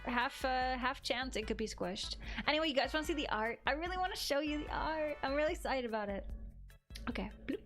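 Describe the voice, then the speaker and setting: Bubbly high-voiced young woman streamer. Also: fairly bright tone. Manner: bubbly, giggly, playful sound effects. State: losing her voice. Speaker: art streamer. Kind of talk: casual chat reading viewer messages. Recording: music playing underneath. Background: background music.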